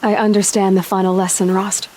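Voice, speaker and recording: An actress playing a determined, independent young outcast huntress in a video game, with an earnest, direct and questioning manner. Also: female voice, medium pitch, little pitch movement, fairly quick, darkish tone, lightly acted. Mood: earnest, resolute. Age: young adult. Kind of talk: dramatic video game cutscene acting.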